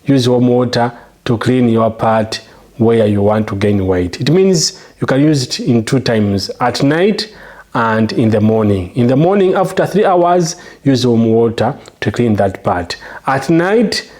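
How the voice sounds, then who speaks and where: A man's voice: medium pitch, animated, measured pace, a little mellow. A man with a Ugandan English accent hosts a how-to video. His delivery is emphatic and promotional, walking viewers through steps and repeatedly checking that they follow. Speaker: channel host.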